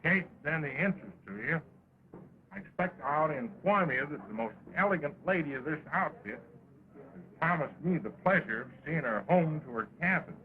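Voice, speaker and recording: An actor playing a young cowboy sidekick with an American drawl, folksy and mock-insulting, in an early talkie film. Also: male voice, a little high, lively intonation, normal speed, close to an everyday voice. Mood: boastful. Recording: tinny, crackly 1920s film soundtrack.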